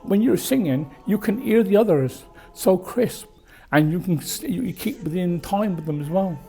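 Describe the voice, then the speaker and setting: A man speaks in a fairly high voice, with lively enthusiasm and a lilting, half-sung delivery. Music plays clearly behind him. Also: moderate pace. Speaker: singing group participant. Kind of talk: interview testimony. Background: music playing.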